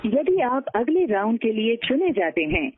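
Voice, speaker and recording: Female IVR announcer, formal, instructional, very lively pitch, narrow phone-line sound. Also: normal speed, husky. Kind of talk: scripted IVR phone menu prompts. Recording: tinny band-limited phone line recording.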